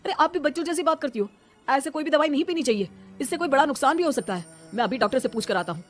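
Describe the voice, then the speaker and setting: A reasonable young husband in a cartoon, voiced by a woman. He talks briskly in a mid-range voice and tries to calm things down. Soft music runs behind. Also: high voice.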